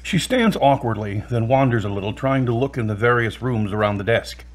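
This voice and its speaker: Husky male narrator reading scene directions. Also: mid-range voice, lively intonation, a little fast. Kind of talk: scripted narration of stage directions.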